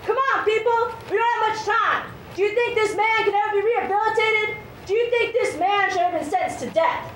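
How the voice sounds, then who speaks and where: A woman's voice: extremely high pitch, brisk, a bit bright. A woman performing theatrical, courtroom-style announcements in a live show. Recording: roomy, distant, faintly noisy live recording.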